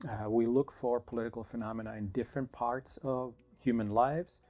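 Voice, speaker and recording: A middle-aged man from Finland, a professor answering interview questions in a thoughtful, measured way with small restarts. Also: mid-range voice, steady tone, a little mellow. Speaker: political science professor.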